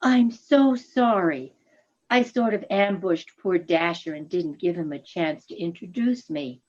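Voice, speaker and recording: A woman's voice: fairly low pitch, expressive, measured pace, darkish tone, dull audio. A woman reading a gracious, affectionate female reindeer in a radio play, genteel and effusive. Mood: solicitous.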